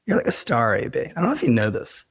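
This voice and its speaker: A hoarse man who is losing his voice, talking casually and wryly as a podcast host in a rambling, informal way. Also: medium pitch, huge pitch swings, fast talker, no echo, studio quality. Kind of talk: casual conversational podcast talk.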